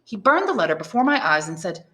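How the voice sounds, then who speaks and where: An earnest young man in prison, from a classic adventure novel , voiced by a woman narrator. He sounds candid and naive, lifted in pitch, and puts emphatic feeling into his words. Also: sing-song, fast, sharp tone. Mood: candid, trusting. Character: Dantès, earnest, naive young male prisoner, easily astonished.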